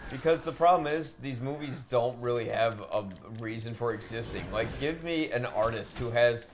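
A man's voice: medium pitch, moderate pace. A man hosting a casual podcast chat while playing a video game, talking discursively, giving opinions and posing questions. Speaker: podcast host. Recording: clearly noisy room recording.

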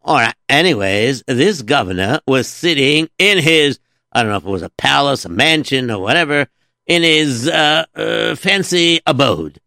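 A man's voice: mid-range voice, expressive, normal speed, gravelly, pushed and bright, no echo, studio quality. A man hosting a comedic children's story show, patient and dryly teasing toward his sidekick. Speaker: storyteller host.

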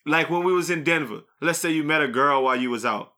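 A man's voice: fairly high pitch, quick pace, bright, forceful tone. A man hosting a podcast, debating casually with friends in a blunt, profane, teasing way. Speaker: podcast host.

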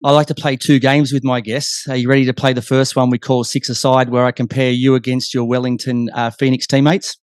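A man's voice: medium pitch, level tone, fairly quick, hardly any pauses, no room sound, studio quality. A man hosting a casual sports chat, putting questions to his guest as interviewer. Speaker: interview host.

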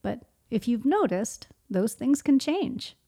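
A woman's voice: fairly high pitch, very animated, takes it slowly, mellow, slightly rough. A woman speaking as a guest in a podcast conversation, reflective and posing questions that she then answers herself. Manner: reflective, poses and answers her own questions.

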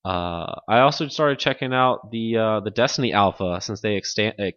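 A man's voice: on the low side, moderate pace, a bit bright, no room sound, highs cut off. A man chatting casually about video games as a podcast host, rambling and often given to laughter.

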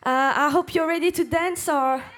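A jet-lagged singer with a French accent chatting and giggling to the crowd between songs, calling out loudly from a stage mic. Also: female voice, high-pitched, moderate pace, heavy background noise. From France. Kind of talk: between-song stage banter. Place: live music venue. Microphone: stage vocal mic. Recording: roomy live concert sound with loud band. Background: band music and audience.

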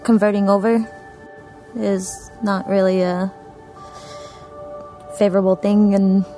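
A woman from Afghanistan giving a reflective personal testimony about coming to faith, with a soft, hesitant way of speaking. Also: medium pitch, flat delivery, slow pace, poor audio. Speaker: church member giving testimony. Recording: music playing behind the voice.

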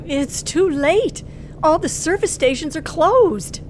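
A woman's voice: sits high, expressive, takes it slowly, a little mellow, a little hiss or hum. An actress playing a nervous, frightened young woman driver who tells her ordeal in a breathless, suspenseful old radio thriller style. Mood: anxious. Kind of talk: old-time radio thriller acting and first-person narration. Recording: vintage radio drama with music underneath.

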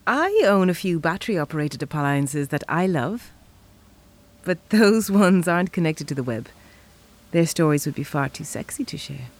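A woman's voice: low voice, lively intonation, moderate pace. A woman playing a wry, playful, skeptical girlfriend in an audio drama. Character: teasing, skeptical girlfriend, a chocolatier.

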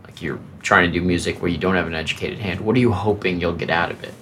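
A man's voice: sits low, steady tone, fast talker, fairly bright tone, slight background noise. A man hosting a casual interview, curious and riffing on ideas with his guest. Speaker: podcast host.

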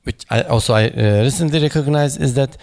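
A man speaking at a memorial panel, halting and searching for words, with many fillers and restarts in English that is not his first language. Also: medium pitch, vocal fry, darkish tone. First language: not English; foreign accent in Turkish noted.

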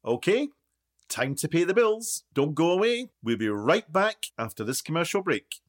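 A man acts a self-important documentary host in a radio play. His fairly high voice swoops showily, like a broadcaster in love with his own show. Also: big pitch swings, normal speed. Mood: breezy. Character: pompous self-styled documentary maker and TV-style host, man.

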